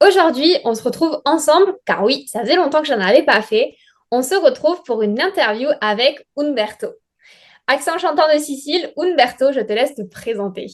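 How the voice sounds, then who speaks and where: Podcast host, woman with a high voice, brief and friendly questions. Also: lively intonation, moderate pace. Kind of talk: scripted podcast intro and questions.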